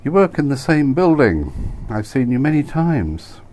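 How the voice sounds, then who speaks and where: Coy, polite young female secretary for an audiobook, acted by a man: breathy, lifted pitch, some background noise. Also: big pitch swings, measured pace, darkish tone. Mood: coy gratitude. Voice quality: breathy, with high frills.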